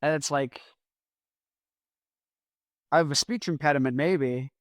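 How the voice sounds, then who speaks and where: A man's voice: fairly high pitch, a little slow, no room sound, studio quality. A man hosting a podcast in a rambling, casual solo monologue. He mumbles his words and lets his S sounds drag out. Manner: rambling, self-deprecating. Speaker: podcast host.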